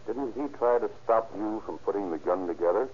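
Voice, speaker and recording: A man's voice: lowish voice, animated, fairly quick, a little mellow, natural voice. A man playing a stern police interrogator with pointed questioning in 1930s radio style. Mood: probing. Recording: dull, noisy old radio recording.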